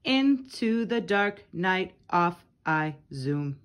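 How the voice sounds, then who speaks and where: Slow-paced woman reading children's rhymes. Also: on the low side, animated. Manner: addresses young listeners with questions and short comments. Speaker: picture book reader. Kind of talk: read-aloud of children's nursery rhymes, part sung.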